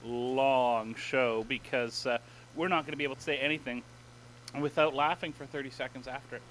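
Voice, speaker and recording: A man hosting a gaming podcast in casual chat, excitable and playful, laughing often. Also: mid-range voice, normal speed, a little hiss or hum, poor audio. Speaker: podcast host.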